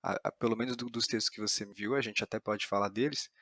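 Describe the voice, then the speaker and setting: A man's voice: on the low side, steady tone, fast, fairly bright tone, no room sound, clean studio sound. A man with a Brazilian accent hosting a conversational podcast interview, rephrasing tentatively as he goes.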